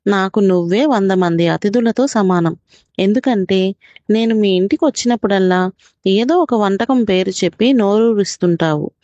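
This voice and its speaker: A woman voicing a kind, shrewd wolf, a loyal friend who speaks gently and courteously in a children's storybook tale. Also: medium pitch, normal speed, no room sound, muffled recording, close to an everyday voice. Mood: gently pointed.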